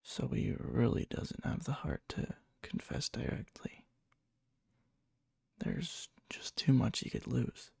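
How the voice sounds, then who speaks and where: A man playing a needy, lovesick young best friend in an ASMR roleplay, speaking softly and hesitantly with a breathy, often whispered murmur and nervous stammers. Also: mid-range voice, big pitch swings, takes it slowly, no echo, clean studio sound, full character voice. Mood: lovesick, anxious. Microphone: close microphone, dry.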